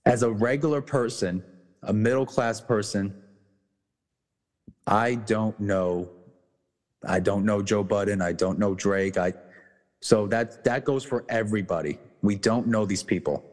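A man co-hosting a casual podcast, talking bluntly with many restarts and swearing, his voice going hoarse. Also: lowish voice, measured pace, long pauses, a little mellow, in a large hall. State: losing his voice. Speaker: podcast co-host.

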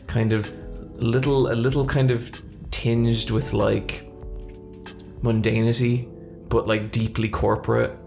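A man with a gravelly, fry-edged voice bantering on a podcast, sweary and teasing. His pitch sits in the middle, and faint music runs underneath. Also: little pitch movement, takes it slowly, a little mellow. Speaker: podcast co-host. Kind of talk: casual chat.

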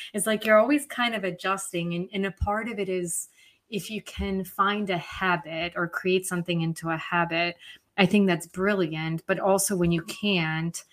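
Serbian-born woman, slightly low voice, casual chat. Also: a bit bright.

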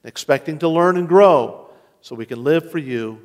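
Earnest preaching pastor in a reverberant hall. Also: male voice, fairly high pitch. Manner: earnest preaching, slowing to stress key words. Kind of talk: sermon. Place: church sanctuary. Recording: long reverb of a large hall.

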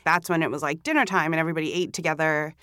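A woman's voice: low voice, very fast, smooth, dry recording, studio quality. A young woman from Hong Kong, a podcast guest chatting casually with lots of "like" fillers. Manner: relaxed, chatty, many "like" fillers. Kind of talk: casual interview chat. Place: recording studio.

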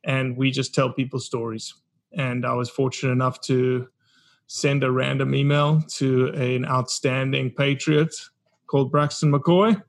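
A man's voice: mid-range voice, level tone, measured pace. A man in his forties from South Africa with a South African accent, telling his story earnestly as a podcast guest. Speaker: podcast guest, documentary founder. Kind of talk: casual interview talk.

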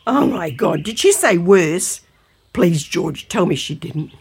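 An actress playing a stubborn, gullible older grandmother in a comic audio drama, husky-voiced and full of indignant questions. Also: lowish voice, big pitch swings, slow pace, darkish tone, heavily acted. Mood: alarmed.

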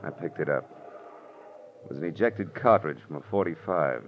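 A man's voice: rumbling low, lively intonation, moderate pace, a little hiss or hum. An American man playing a world-weary, hard-boiled private detective in old radio noir style, terse, wry and punchy. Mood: weary, brooding. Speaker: lead actor and narrator of a radio detective series. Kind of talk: 1940s-50s radio noir acting. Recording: muffled old radio recording with music.

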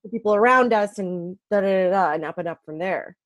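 A woman comedian with a dry voice, chatting casually with halting fillers, heard over a video call. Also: mid-range voice, no echo. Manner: laid-back, halting with fillers. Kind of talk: casual chat.

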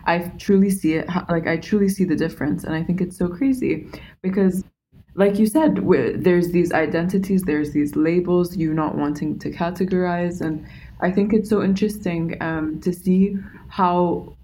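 A woman's voice: on the low side, fairly even intonation, moderate pace, warm tone, poor audio. A young woman in a casual podcast chat, thinking aloud reflectively with many fillers.

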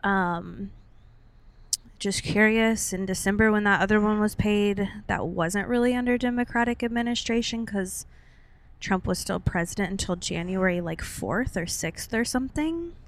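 A young woman with a mid-pitched voice chats as a podcast co-host. She goes through news in an informal, conversational way, hedging and commenting as she reads. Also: moderate pace. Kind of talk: casual chat, reading out and discussing news.

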